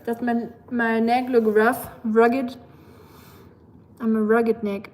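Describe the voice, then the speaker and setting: A woman's voice: a little high, little pitch movement, slow, a little mellow. A young woman with a German accent hosts a livestream, chatting casually and playfully and reading viewer messages aloud. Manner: chatty, laughs often, playful asides. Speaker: livestream host.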